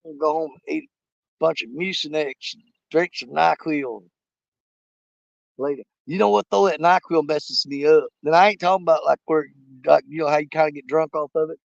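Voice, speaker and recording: Fishing host, man, hoarse and gravelly, folksy rambling talk to camera outdoors, muffled dry mic. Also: on the high side, moderate pace, no echo. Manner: rambling, folksy, talks straight to viewers. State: hoarse, losing his voice. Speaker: fishing live-stream host. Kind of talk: casual live-stream chat. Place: outdoors at a small lake.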